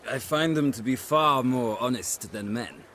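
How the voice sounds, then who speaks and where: A man's voice: mid-range voice, a little slow, a bit bright, slight background noise, natural voice. An actor playing a refined, haughty nobleman who loves horses, speaking in florid, courtly phrases in a video game. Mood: courtly, appreciative. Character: refined, haughty aristocratic horse connoisseur, playful and eager. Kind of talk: video game character acting.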